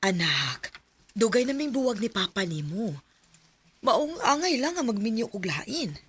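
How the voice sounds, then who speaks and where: A woman's voice: a little high, big pitch swings, a little slow, slight background noise. A woman playing a practical, reassuring mother in a radio drama, with a Filipino accent. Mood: explaining, gentle.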